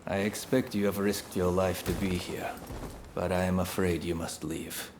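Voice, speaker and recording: A man voicing a stoic, dignified imprisoned master in a video game cutscene, with formal, measured speech. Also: deep voice, fairly even intonation, noisy background, close to an everyday voice. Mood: stoic, resigned. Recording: faint background ambience.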